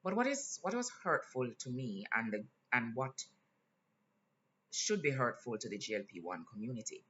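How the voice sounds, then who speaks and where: A man with a Jamaican accent talking in a casual vlog, earnest and candid, pausing often with fillers. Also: mid-range voice, sing-song, pushed and bright. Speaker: vlogger. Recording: slightly dull lo-fi sound, faint hiss.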